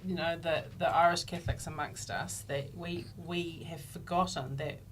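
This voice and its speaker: A woman's voice: low voice, fairly even intonation, normal speed, a little hiss or hum. A woman in casual conversation as an interviewer.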